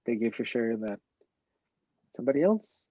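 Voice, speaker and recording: A man's voice: mid-range voice, expressive, warm tone, no room sound. Courteous man moderating, muffled dry sound. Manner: polite, formal, with filler pauses. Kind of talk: moderating a webinar. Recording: dull dry lo-fi audio.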